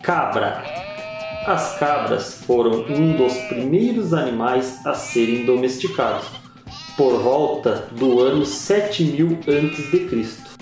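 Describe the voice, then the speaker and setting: A man reading a scripted educational narration in a slow, measured way, with pauses between phrases. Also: mid-range voice, expressive, darkish tone. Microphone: voice-over. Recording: very echoey voice-over with music.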